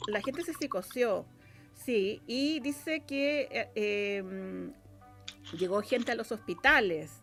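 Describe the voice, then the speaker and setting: A woman's voice: a little high, takes it slowly, fairly bright tone, faint music behind. A woman with a Chilean accent speaking as a guest in a casual interview, explaining hesitantly and repeating phrases for emphasis. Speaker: guest, radio drama scriptwriter.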